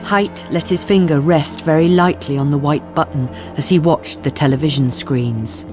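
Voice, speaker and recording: A woman's voice: sits low, normal speed. Woman narrator over music. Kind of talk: scripted drama narration.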